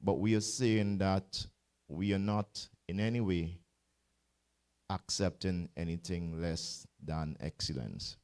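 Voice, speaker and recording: A man's voice: sits low, slow, a little mellow. A man serving as a cricket board president, giving earnest formal closing remarks and repeating words as he searches for them.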